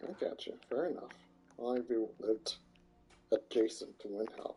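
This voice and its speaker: A man's voice: on the low side, normal speed, dark tone, faint music behind, poor audio. A man casually chatting and joking while streaming a fantasy game on a mic. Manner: chatty, joking, talks to chat and characters. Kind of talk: casual gameplay commentary. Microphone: headset or desk mic.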